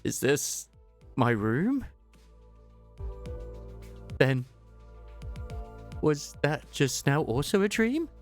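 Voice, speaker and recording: Male fan dubber, lively and expressive game narrator, light music underneath. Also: a little high, extremely slow, pauses often. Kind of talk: dramatized first-person story narration of a game.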